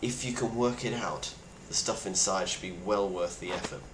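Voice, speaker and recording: A man playing a grim, weary plague survivor, speaking gravely and bluntly in a halting video-diary monologue. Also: deep voice, lightly acted. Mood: earnest, advising. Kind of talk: in-character monologue, found-footage video diary. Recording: roomy echo with clear background noise.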